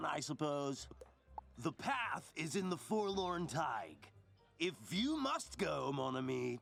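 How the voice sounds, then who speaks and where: A man's voice: sits high, vocal fry, a bit bright, heavily acted. A man playing an eccentric, theatrical explorer in a cartoonish video game, with an exaggerated French accent. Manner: theatrical, mangles French into English.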